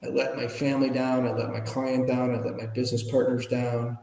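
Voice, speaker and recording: A man in his fifties or older telling personal stories conversationally as an interview guest, informal and animated, often asking rhetorical questions. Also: mid-range voice, brisk, gravelly, a little hiss or hum. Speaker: interview guest, public speaker. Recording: echoey room with long reverb.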